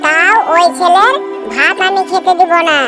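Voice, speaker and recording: A woman's voice: extremely high pitch, normal speed. A woman playing an angry, dramatic village mother in a cartoon drama, complaining about her daughter. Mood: angry, scolding. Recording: music behind the voice.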